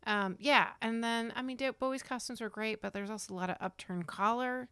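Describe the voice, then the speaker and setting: A woman's voice: on the high side, brisk, sharp tone, smooth, dry recording. A woman co-hosting a casual podcast chat, chatty and enthusiastic, trailing off into fillers. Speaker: podcast co-host.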